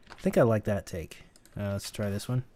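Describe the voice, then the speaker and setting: Low-voiced man thinking aloud while editing. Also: fairly quick, warm tone, no room sound. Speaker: sound editor teaching. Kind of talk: screen-recorded tutorial.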